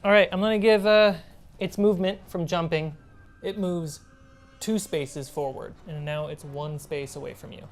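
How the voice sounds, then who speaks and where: A woman's voice: fairly low pitch, normal speed, soft background music. A woman running a tabletop role-playing game as game master, describing moves and calling for rolls.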